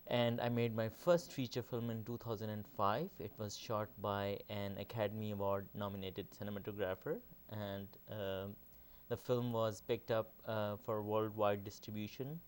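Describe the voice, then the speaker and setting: A middle-aged man from Pakistan, answering interview questions as a film director in a measured way with many pauses. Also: on the low side, little pitch movement, clear, resonant tone.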